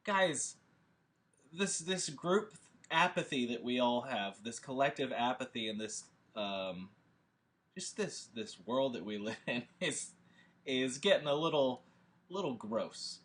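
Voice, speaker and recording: Bright-voiced man talking off the cuff. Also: mid-range voice, lively intonation, takes it slowly. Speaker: host and scriptwriter introducing a table read. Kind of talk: informal rambling monologue to an audience.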